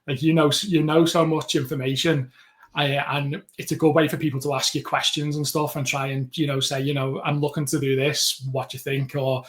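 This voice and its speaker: Liverpool-accented man, flat chatty delivery. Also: fairly high pitch, a little fast. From England. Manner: chatty, rambling with frequent restarts. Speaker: podcast co-host. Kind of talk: casual podcast chat.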